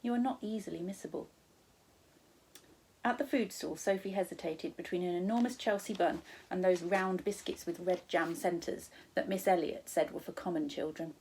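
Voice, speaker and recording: A woman's voice: on the low side, normal speed, hardly any pauses, darkish tone, slight background noise, rough recording. A woman with a British accent, a primary school teacher, narrating a children's storybook expressively.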